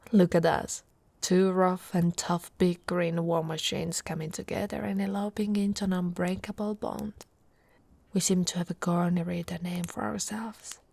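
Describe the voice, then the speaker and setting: A woman playing a big, tough but tender orc wife in an intimate, soft ASMR roleplay. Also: mid-range voice. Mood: soothing, loving. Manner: soft, slow, intimate, often breathy with soft chuckles.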